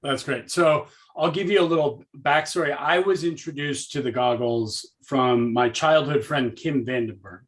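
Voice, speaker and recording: A man's voice: mid-range voice, normal speed, few pauses. A man hosting a live interview, asking questions in a friendly, upbeat way. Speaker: host.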